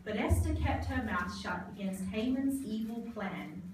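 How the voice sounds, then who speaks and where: Casting: female storybook narrator, scripted verse, slightly high, distant in a noisy, very reverberant room. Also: expressive, moderate pace, darkish tone. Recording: very echoey, distant, noisy live hall.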